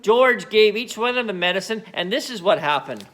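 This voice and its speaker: High, bright-voiced man reading a story. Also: animated, moderate pace, slight background noise. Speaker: storybook reader. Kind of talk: expressive children's story narration.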